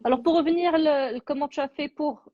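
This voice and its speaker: Female interviewer, fairly high voice, informal adviser, muffled dry recording. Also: fairly quick, no room sound. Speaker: interviewer, education agency adviser. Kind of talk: casual interview.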